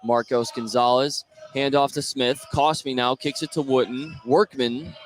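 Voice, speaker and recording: A man calling live play-by-play of a high school basketball game for radio. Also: fairly even intonation, a little slow, a little hiss or hum, rough recording. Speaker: play-by-play commentator. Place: courtside at an indoor basketball game.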